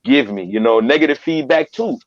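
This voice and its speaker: A man's voice: medium pitch, fairly quick. A man, a voiceover artist and business owner from a Trinidadian family, talking in a casual interview and repeating phrases for emphasis. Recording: dull lo-fi sound.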